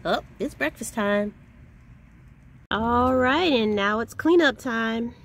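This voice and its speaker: A woman in her forties vlogging casually from inside her parked van, chatting in an unhurried way and thinking aloud with fillers. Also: fairly high pitch, creaky, fairly bright tone, a little hiss or hum. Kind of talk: casual vlog narration.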